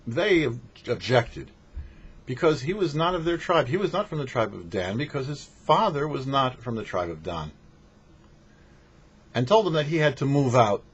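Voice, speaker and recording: A man's voice: mid-range voice, very animated, normal speed, slightly rough. A man speaking as a rabbi giving a short religious lesson, teaching in an emphatic, didactic way with rhetorical questions. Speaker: rabbi hosting a weekly Torah portion show. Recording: dull lo-fi audio with faint noise.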